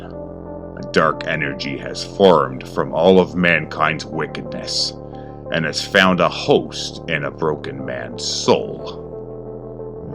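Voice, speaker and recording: A man narrating a scripted horror story in a slow, grave, ominous manner. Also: a bass voice.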